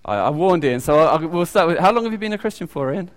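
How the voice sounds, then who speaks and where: A man with a British accent giving an informal sermon. He talks haltingly, with many ums and restarts. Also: fairly high pitch, animated. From United Kingdom. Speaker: lay preacher. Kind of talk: informal sermon with audience questions. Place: church hall. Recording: long echoey church reverb.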